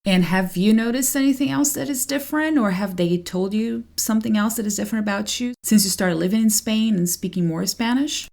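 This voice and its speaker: A woman's voice: mid-range voice, a little fast, vocal fry, hardly any pauses, a little mellow. A woman whose first language is Portuguese, hosting an interview podcast in an easy, enthusiastic, chatty way. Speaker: podcast host. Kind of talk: conversational interview.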